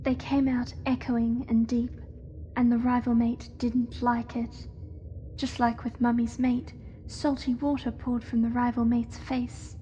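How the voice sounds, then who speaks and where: A woman plays an eerily innocent young spider creature from a horror audio drama. The voice is high and soft-edged, with very little rise and fall. It speaks in a hushed, measured, devoted way over noisy ambience. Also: flat delivery, natural voice. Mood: hushed, reverent.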